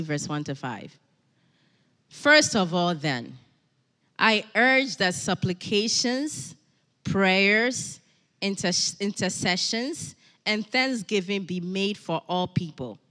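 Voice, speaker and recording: A middle-aged woman preaches in an animated, emphatic way, repeating her key lines for effect. Her voice is bright and forward. A long reverb trails after her words, as if she stands in a big church hall. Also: mid-range voice, slow. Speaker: guest preacher. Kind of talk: sermon with personal asides.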